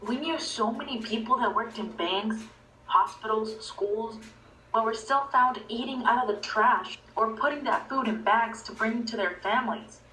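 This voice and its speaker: A woman's voice: a little high, moderate pace, pushed and bright. A woman in her twenties from Venezuela, narrating her own personal story. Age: 20s. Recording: echoey, distant playback with faint noise.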